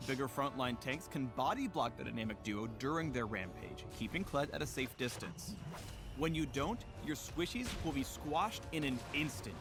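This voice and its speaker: A man delivering scripted game showcase narration in a playful, animated manner. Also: mid-range voice, normal speed. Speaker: champion spotlight narrator. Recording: played video with music behind.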